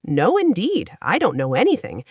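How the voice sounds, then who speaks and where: A woman voicing a friendly, polite, wistful male scarecrow with a husky voice in a storybook. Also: medium pitch, wildly swooping pitch, moderate pace, dry recording, lo-fi sound. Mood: earnest, wistful. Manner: courteous, earnest, confiding.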